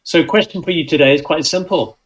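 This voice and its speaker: A man's voice: fairly high pitch, a little fast. A man leaving a short, polite recorded question for a podcast. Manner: polite, brief. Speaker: caller. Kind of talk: recorded voice message.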